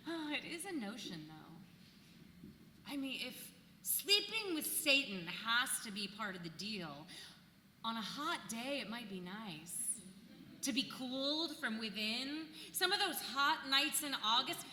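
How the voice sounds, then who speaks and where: A woman's voice: on the high side, big pitch swings, measured pace, sharp tone, heavily acted. A woman in a staged play reading, playing a bawdy, weary seventeenth-century village housewife with a mocking, emphatic way of talking. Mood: mischievous, musing. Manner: mocking, irreverent, emphatic storytelling. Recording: echoey hall, distant, noisy room tone.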